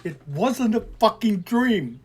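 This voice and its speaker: A man's voice: high-pitched, big pitch swings, takes it slowly, a little mellow, breathy edge, voice pushed up, heavily acted. A man reading an audio story, voicing a frightened teenage girl who insists she was abducted and pleads to be believed. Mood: insistent, pleading. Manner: pleading, defensive, swearing in frustration.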